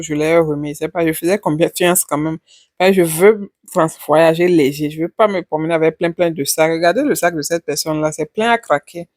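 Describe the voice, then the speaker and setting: A woman's voice: low voice, no echo, clean studio sound. A woman vlogger chatting casually over her travel footage, rambling and repeating her words.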